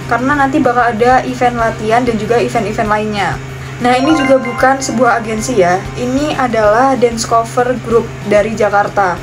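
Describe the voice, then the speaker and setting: A woman's voice: fairly high pitch, poor audio. A young woman from Indonesia giving casual, chatty audition tips straight to her viewers. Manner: chatty, addresses viewers directly. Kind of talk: casual tutorial vlog. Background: music.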